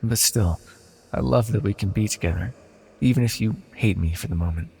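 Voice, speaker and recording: A man playing an immortal banished villain sorcerer in a fantasy audio roleplay, speaking softly and formally with condescending, possessive tenderness and a gravelly, husky voice. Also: fairly low pitch, fairly quick, heavily acted. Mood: tender, possessive. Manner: soft-spoken, condescending, theatrically formal. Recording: long hall-like reverb.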